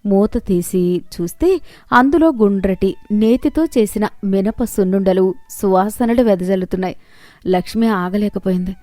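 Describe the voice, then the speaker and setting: A woman's voice: mid-range voice, normal speed, warm tone, no room sound. A woman reading a scripted moral story as its narrator.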